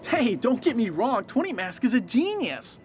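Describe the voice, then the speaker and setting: An opinionated young sleuthing fan in an anime dub. The voice is fairly high and very expressive, with a knowing, cheeky delivery. Music runs behind it. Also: female voice, normal speed. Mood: admiring.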